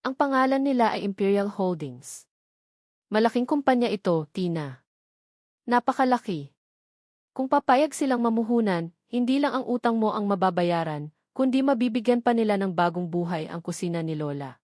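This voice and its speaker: A woman voicing an elderly male lawyer, gentle, measured and formal, in an audio drama. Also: medium pitch, expressive, no room sound, studio quality, natural voice. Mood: earnest, hopeful. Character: gentle, sorrowful old male lawyer on the phone.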